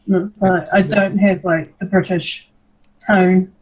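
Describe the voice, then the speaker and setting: A woman's voice: mid-range voice, level tone, a little slow, darkish tone. A middle-aged woman with a New Zealand accent, co-hosting a casual livestream chat in a giggly, chatty way. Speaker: co-host. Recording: dull lo-fi stream audio.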